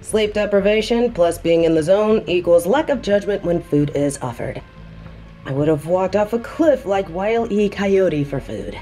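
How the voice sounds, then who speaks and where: A woman playing a quick-witted, sarcastic reporter in an audio drama, delivering wry quips as an unfazed captive. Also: medium pitch, lively intonation, mellow, soft background music, lightly acted. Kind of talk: scripted audio roleplay dialogue.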